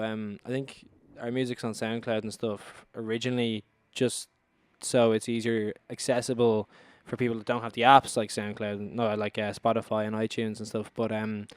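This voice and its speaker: A man's voice: fairly low pitch, smooth, no echo. A young Irish man talking casually as a band member in an interview. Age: young adult.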